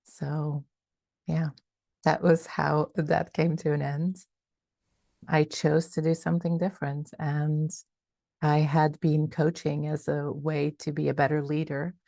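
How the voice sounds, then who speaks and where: A middle-aged woman from Belgium talks through her story in a measured, reflective way as an interview guest. Her voice sits very low and mellow, with a gravelly edge, and the recording is close and dry. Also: flat delivery, no echo. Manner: reflective, measured, with many fillers and restarts. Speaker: guest, coach and founder. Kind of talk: casual interview talk.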